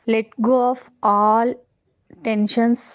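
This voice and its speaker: A woman with a Telugu accent guiding a meditation in a calm, measured way, pausing between short phrases. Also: a little high, lo-fi sound. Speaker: meditation guide. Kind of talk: guided meditation instruction.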